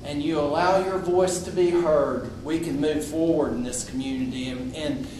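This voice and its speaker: A man delivers an off-the-cuff speech to a public meeting, loud and outspoken, often restarting his sentences. His voice sits a bit high for a man. He was recorded from across an echoey room with a steady noisy hiss behind him. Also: normal speed, distant mic. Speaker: invited speaker at a public meeting. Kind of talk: impromptu public address.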